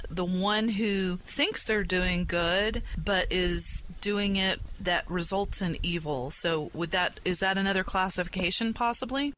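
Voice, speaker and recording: A woman's voice: mid-range voice, fairly bright tone, low-quality recording. A woman speaking as a podcast panelist, casually discussing game lore in a musing, questioning way. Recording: clear background noise.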